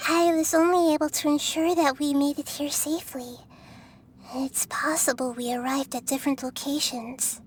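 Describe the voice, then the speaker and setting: Woman voicing a very high-voiced, wise little child goddess. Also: little pitch movement, a little hiss or hum, natural voice. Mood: reassuring. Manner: measured, earnest, articulate. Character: small childlike female deity, wise, gentle and composed. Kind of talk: video game voice acting.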